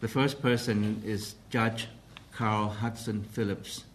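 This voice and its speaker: Man, low voice, formal press briefing, halting delivery with fillers; slight room noise. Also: steady tone. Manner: hesitant, formal, many pauses and restarts. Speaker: council president briefing the press.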